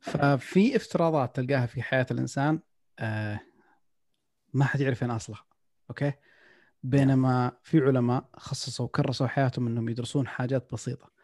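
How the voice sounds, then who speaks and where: A man's voice: mid-range voice, quick pace, a little mellow, dry recording, clean studio sound. A man hosting a casual podcast chat, reflective, slipping English phrases into his talk. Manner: reflective, mixes in English phrases, many restarts.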